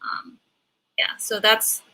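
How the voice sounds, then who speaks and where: Very bright-voiced woman, halting and thoughtful. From Hawaii. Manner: halting, thoughtful, searching for words. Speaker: panelist. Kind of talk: personal reflection in a panel discussion.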